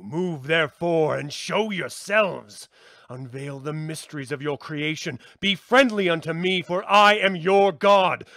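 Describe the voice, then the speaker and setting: A man reading a text aloud like a solemn, dramatic incantation, declaiming theatrically with deliberate weight. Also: on the high side, dry recording, studio quality. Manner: theatrical, declaiming, swelling from subdued to shouted. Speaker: reader of a book aloud.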